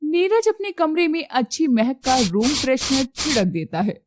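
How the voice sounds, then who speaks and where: A woman narrating a scripted moral story as an expressive storyteller. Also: sits high, normal speed, noisy background.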